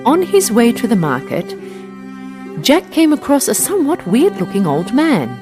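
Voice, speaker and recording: A woman tells a scripted children's story in a highly animated voice that rises and falls widely. She narrates as a storyteller for language learners. Music plays clearly behind her. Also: moderate pace.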